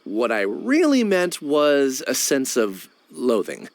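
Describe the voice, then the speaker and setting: A man's voice: medium pitch, wildly swooping pitch, slow, exaggerated voice. An actor playing an earnest, apologetic young man in a video game.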